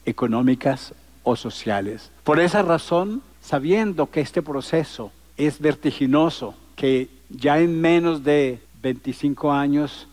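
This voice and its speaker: A man's voice: on the high side, measured pace, a little mellow. A man in his sixties from Colombia, giving a public lecture in an emphatic, rhetorical way built on repetition and lists. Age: 60s. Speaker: conference speaker. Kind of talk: public lecture to a live audience.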